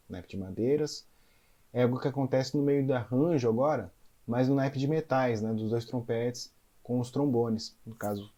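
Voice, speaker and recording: A man's voice: mid-range voice, a little mellow. A man, a conductor and music teacher, explains a big band score in a casual, explanatory way and keeps checking in with his listeners. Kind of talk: explaining a score in an educational concert video.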